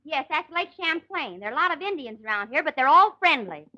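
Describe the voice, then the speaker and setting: High, wisecracking radio gal. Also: female voice, big pitch swings, fairly quick, pushed and bright, slight background noise, heavily acted. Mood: breezy. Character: breezy, wisecracking young woman among the Rangers. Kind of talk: 1940s radio comedy acting. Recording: dull 1940 radio recording.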